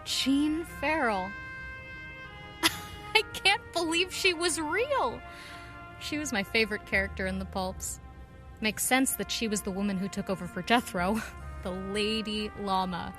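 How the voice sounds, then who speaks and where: A woman's voice: mid-range voice, measured pace, pauses often, lightly acted. A woman acting a bold, earnest, headstrong young vigilante in a pulp audio drama. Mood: admiring. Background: music.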